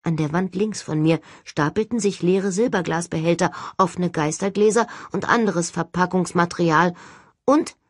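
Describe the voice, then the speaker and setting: A woman narrates a story for an audiobook in a slightly low, husky voice. The sound is close and very dry, like a studio reading. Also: moderate pace, no room sound. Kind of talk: scripted audiobook narration, first person.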